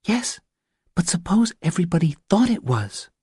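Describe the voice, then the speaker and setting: A man voicing an earnest, scheming young woman with a hushed, insistent manner in a thriller audiobook. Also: high voice, very animated, moderate pace, husky, no echo, clean studio sound, pitched above the performer's own voice, full character voice. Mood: urgent, persuasive.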